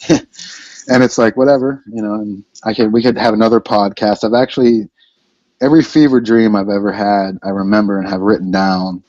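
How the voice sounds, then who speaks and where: A man with a lowish voice rambles through a casual podcast interview, piling on fillers and restarts as he goes. The sound is dry and a little dull. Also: fairly even intonation, moderate pace, a little mellow, no echo. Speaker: band member, vocalist and lyricist.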